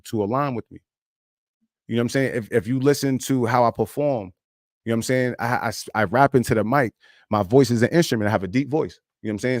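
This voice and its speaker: A man in his thirties talks straight into his streaming mic, loose and rambling as he shares his thoughts with viewers. His speaking pitch sits in a plain middle range. The sound is dry and close, with no room around it. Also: dry recording. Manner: rambling, loose and candid, peppered with "you know what I'm saying". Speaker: independent rap artist streaming live. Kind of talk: casual live-stream monologue.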